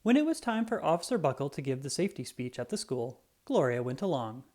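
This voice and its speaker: A storybook narrator reading aloud for children in an expressive, dramatic way with drawn-out emphasis. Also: mid-range voice.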